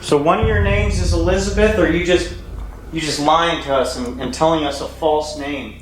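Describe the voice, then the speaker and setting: A man hosting a paranormal investigation, speaking tensely and urgently and calling out to a spirit in a dark house. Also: a little high, fairly even intonation, moderate pace, a little hiss or hum. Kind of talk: ghost-hunting commentary. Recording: roomy echo, faint ambience.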